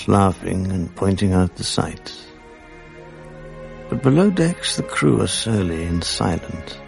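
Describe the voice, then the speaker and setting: A man's voice: low voice, vocal fry, a little mellow. An actor playing an ailing, grieving, lonely man speaking his thoughts in a subdued, reflective radio drama monologue.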